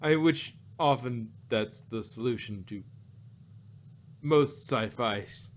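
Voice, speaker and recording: Male co-host, casual podcast review, rambling delivery full of restarts, mid-pitched voice. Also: slow pace. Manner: rambling, many restarts and quoted asides.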